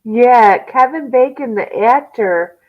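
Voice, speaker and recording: A woman chatting casually as a guest, agreeable and quick to say yes. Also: fairly high pitch, lively intonation. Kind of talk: casual chat. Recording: tinny phone line.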